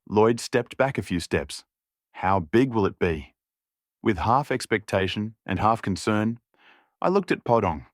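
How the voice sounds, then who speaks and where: Low-voiced man narrating an audiobook. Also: no echo, clean studio sound. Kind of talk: scripted fiction narration.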